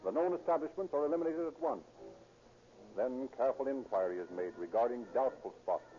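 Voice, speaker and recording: Narrator male actor for vintage police radio drama: formal, solemn, measured, clear music bed, limited old-recording fidelity. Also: medium pitch, animated, dark tone, muffled recording, close to an everyday voice. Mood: solemn, suspenseful.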